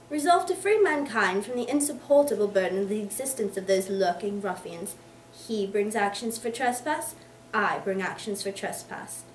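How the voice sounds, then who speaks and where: A woman voicing a hearty, explosive, blustering older English gentleman in an emphatic, theatrical reading of a Victorian novel. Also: on the high side, natural voice. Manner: emphatic, theatrical declaiming in long tirades. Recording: echoey room, distant mic, faint noise.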